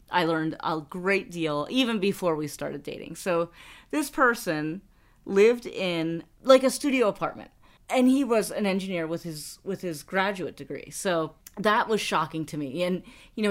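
Woman, middle-aged, podcast co-host, casual chat, fairly low pitch. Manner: chatty, laughs often, many restarts.

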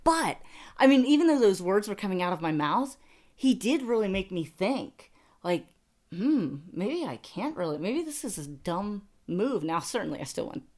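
A woman's voice: mid-range voice, sing-song, moderate pace, darkish tone. A middle-aged woman co-hosting a podcast, chatting casually, laughing often and restarting her sentences. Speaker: podcast co-host. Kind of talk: casual chat.

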